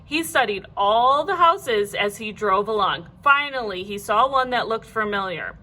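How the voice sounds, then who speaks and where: A woman reading a children's storybook aloud like a teacher, in an animated, clearly phrased way. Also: medium pitch, measured pace.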